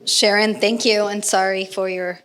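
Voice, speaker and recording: A woman's voice: medium pitch, little pitch movement, moderate pace, fairly bright tone, clean tone. A woman with a Colombian accent moderating a panel, asking a long question and repeating words as she looks for them. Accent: Colombian Spanish.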